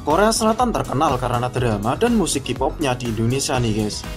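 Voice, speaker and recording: A man narrates a list video in a casual, friendly script, often calling out to his viewers. His pitch moves around a lot as he reads. Loud guitar music runs under his voice. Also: expressive, a little fast. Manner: casual, addresses viewers as guys.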